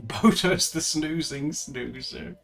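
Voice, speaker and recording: A man's voice: a little high, takes it slowly, creaky, a bit bright, faint music behind. A man chatting casually with his viewers during a live art stream, chatty and giggly, often laughing and restarting his sentences. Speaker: livestreamer. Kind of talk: casual livestream chat with viewers while drawing.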